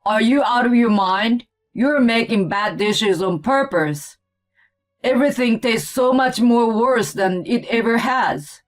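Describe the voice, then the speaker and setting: A woman's voice: a little high, a little slow, soft background music, close to an everyday voice. Woman playing a whiny, grumpy picky man. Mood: angry complaining. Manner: indignant, complaining. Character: grumpy, whiny grown man, a picky meat-loving eater. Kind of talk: acted story dialogue.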